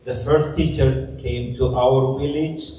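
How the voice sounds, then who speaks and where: A man's voice: medium pitch, fairly even intonation, mellow. Syriac-speaking man in an echoey hall. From southeastern Turkey. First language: Syriac Aramaic. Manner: unhurried, plain-spoken storytelling with frequent fillers. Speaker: guest speaker. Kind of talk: personal testimony in conversation. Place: church hall. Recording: echoey hall, far from mic.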